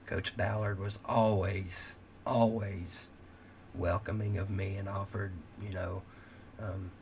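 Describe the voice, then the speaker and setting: A man's voice: sits low, little pitch movement, slow pace, a little mellow, slight background noise. A middle-aged man hosting a late-night livestream, talking in a rambling, candid way with swearing, and his voice is giving out. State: losing his voice. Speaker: livestream host.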